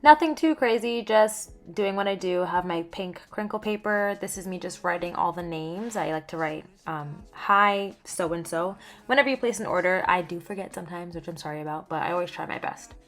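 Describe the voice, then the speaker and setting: A young woman with a lowish voice chats candidly in a vlog voiceover. Her talk is lively, jumping up in pitch and volume on asides, with soft background music playing faintly under it. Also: moderate pace, hardly any pauses. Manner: chatty, candid, lively swings in pitch and loudness. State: just over laryngitis. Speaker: small skincare business owner.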